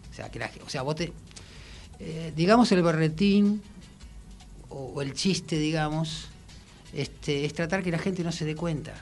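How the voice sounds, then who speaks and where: A man with a Rioplatense Argentine accent talking casually and reflectively in a radio interview, with halting, colloquial phrasing. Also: on the high side, soft background music. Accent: Rioplatense Argentine Spanish. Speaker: musician and songwriter guest.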